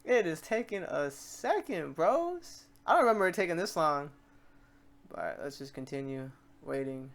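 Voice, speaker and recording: A man with a distinctly high-pitched voice hosts an off-the-cuff software walkthrough. He is informal and chatty, rambling along and doubling back on his words as he explains things. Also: animated, moderate pace, gravelly. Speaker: host of a software tutorial series. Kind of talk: casual screen-recorded software tutorial, talking off the cuff. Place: voice-over to a screen recording.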